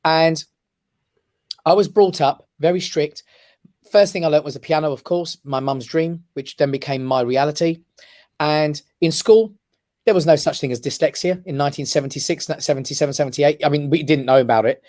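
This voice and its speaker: A man's voice: fairly high pitch, normal speed, a little mellow. A man, an emphatic and passionate radio guest. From England. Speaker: guest, comedy club owner. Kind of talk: casual radio interview. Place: radio studio.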